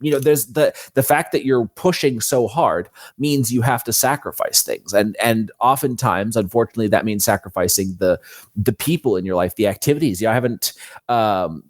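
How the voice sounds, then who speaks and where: A man's voice: lowish voice, moderate pace, no room sound, studio quality. A man speaking as an interview guest, explaining things casually and thinking aloud as he goes.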